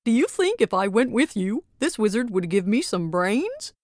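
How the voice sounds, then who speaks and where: A woman's voice: medium pitch, big pitch swings, moderate pace, darkish tone, no room sound, clean studio sound, lightly acted. Lady doing a nice dithery scarecrow guy for a storybook, chatty, voice going up and down. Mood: hopeful. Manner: chatty, wavering, amiable. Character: friendly, dithering male scarecrow eager for brains. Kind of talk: storybook character voice.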